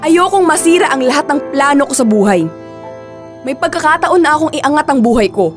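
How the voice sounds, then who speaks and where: High-voiced, stubborn young Filipino woman. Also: expressive, a little fast, music in the background. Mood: desperate, heated. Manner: insistent, pleading, defensive. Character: stubborn, desperate young woman, pregnant and determined. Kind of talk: Filipino radio drama acting.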